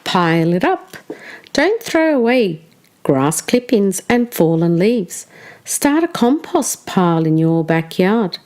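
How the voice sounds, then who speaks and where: Slow, very lively woman reading to children. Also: medium pitch. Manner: expressive, deliberate phrasing for young listeners. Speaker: teacher reading a children's book aloud. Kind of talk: scripted children's storybook read-aloud.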